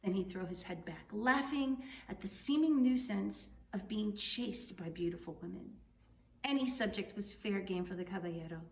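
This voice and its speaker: A woman reading a story aloud as its author, in expressive storybook narration. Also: mid-range voice, normal speed, creaky. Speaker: author reading their book. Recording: roomy, distant mic, faint noise.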